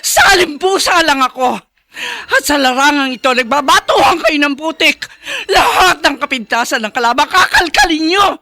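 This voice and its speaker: A Filipino actress playing an anxious, doubtful politician's wife in an expressive radio drama style. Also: female voice, high voice, normal speed, no echo, heavily acted. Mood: bitter, anguished. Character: mayor's wife, a hesitant, anxious, doubtful woman.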